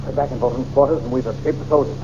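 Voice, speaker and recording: An actor playing a gallant young space hero in a 1930s radio serial, earnest and heroic. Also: male voice, mid-range voice, steady tone, very fast, mellow, a little hiss or hum, close to an everyday voice. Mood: urgent. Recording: dull 1930s radio transcription.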